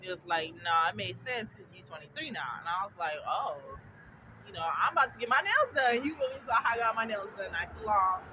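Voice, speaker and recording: A woman's voice: medium pitch, lively intonation, a little fast. A woman in her twenties chatting casually to camera while waiting at a bus stop, constantly asking "you feel me" and often cracking up with laughter. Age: 20s. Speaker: vlogger. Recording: outdoor street traffic noise.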